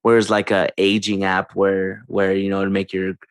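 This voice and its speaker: A very deep-voiced man in his mid-twenties talks in a relaxed, slangy way, dropping "bro" and "facts". His voice sits close and dry, with no room sound. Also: normal speed, dry recording. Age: 20s. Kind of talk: casual chat.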